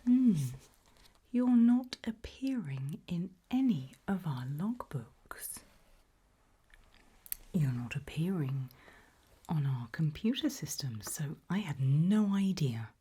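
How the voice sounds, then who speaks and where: A woman playing a friendly, forgetful research scientist in an ASMR roleplay, speaking in a hesitant, polite, breathy whisper. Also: lowish voice, heavily acted. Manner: hesitant, polite, soft-spoken.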